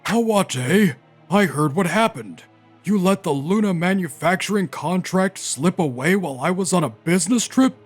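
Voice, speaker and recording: An actor playing a furious, scolding company president in a manga dub. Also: male voice, high-pitched, lively intonation, moderate pace, soft background music. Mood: angry, scolding.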